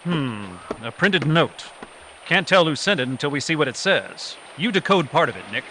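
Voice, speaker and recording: A brisk, take-charge adventure hero, played by a man in old-time radio drama. The voice sits a little high and businesslike, with clear noise behind it on a live recording. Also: sing-song, bright, forceful tone, full character voice, rough recording. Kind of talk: 1940s radio acting.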